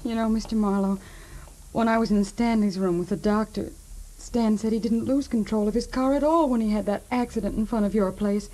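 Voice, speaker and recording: A woman's voice: mid-range voice, a little fast, dark tone, lightly acted. An actress playing an earnest, sweet young American woman in trouble, slightly hesitant, in 1940s radio drama style. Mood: earnest, confiding. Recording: faintly noisy vintage 1940s radio recording.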